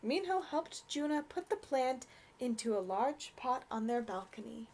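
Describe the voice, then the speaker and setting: Young woman, children's story reader: high, lively intonation, gentle, takes her time. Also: unhurried. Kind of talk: read-aloud storybook narration for children.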